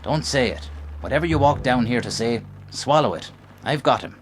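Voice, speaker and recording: An actor playing a stubborn, bitter, grieving veteran cop with an intense, defiant manner in a noir radio drama. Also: male voice, mid-range voice, normal speed, a little hiss or hum, close to an everyday voice, rough recording.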